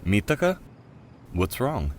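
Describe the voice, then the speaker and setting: Man voicing a timid schoolboy, lively intonation. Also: fairly low pitch, slow, slight background noise, exaggerated voice. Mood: concerned. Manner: hesitant, apologetic. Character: timid, polite, deferential teenage schoolboy. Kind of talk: anime fan dub voice acting.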